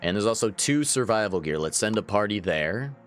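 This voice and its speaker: Chatty man in his thirties, lively voice. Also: on the low side, fairly quick, soft background music. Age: 30s. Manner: chatty, laughs often, answers chat. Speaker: gaming livestreamer. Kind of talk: casual live gameplay chat.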